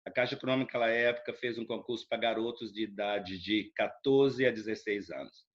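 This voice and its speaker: Rambling middle-aged Brazilian man, low-pitched. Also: brisk, dull audio. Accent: Brazilian Portuguese. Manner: relaxed and rambling, frequent tag questions, light chuckles. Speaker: writer, interview guest. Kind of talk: casual interview storytelling.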